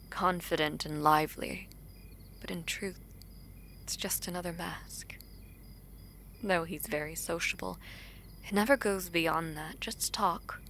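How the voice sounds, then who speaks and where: A woman playing a guarded, proud princess in a fantasy audio drama, speaking formally and deliberately with breathy phrase endings. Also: fairly low pitch, a bit bright, slight background noise. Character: guarded, regal princess, a proud and protective sister.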